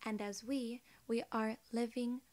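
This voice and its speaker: A soft-spoken woman in her twenties doing an ASMR role-play as a polite alien gatekeeper, with measured, formal phrasing, close to the microphone. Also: a little high, flat delivery. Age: 20s. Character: young, polite, friendly female alien gatekeeper. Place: small indoor room.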